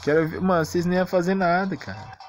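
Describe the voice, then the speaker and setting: Brazilian game commentator, mid-pitched, casual and grumbling, light music underneath. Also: fast talker. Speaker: gamer, video creator.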